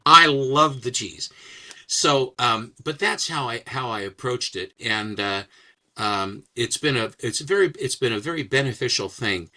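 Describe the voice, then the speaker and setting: An older man as an interview guest, talking in a rambling, halting way with many restarts and fillers. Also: on the low side, bright, forceful tone. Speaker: guest, comics writer and collector. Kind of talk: casual interview chat.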